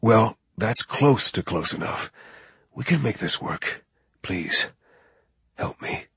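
A man voicing a wounded, weakened male operative who speaks quietly and tersely, straining to get the words out. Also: fairly low pitch, animated, measured pace, vocal fry, slightly rough, dry recording, exaggerated voice. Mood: strained.

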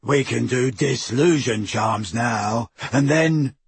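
A man's voice: mid-range voice, slow, breathy edge, dry recording, full character voice. A man voicing Goyle, a gormless, grunting teenage boy thug in an audiobook reading. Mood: smug.

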